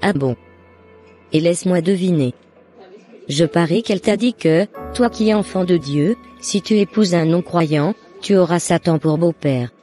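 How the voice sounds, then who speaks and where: Mellow, teasing cousin in a cartoon, acted by a woman. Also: on the low side, unhurried, faint music behind, natural voice. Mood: skeptical, teasing. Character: skeptical teasing female cousin, working young woman. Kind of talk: scripted casual animated dialogue.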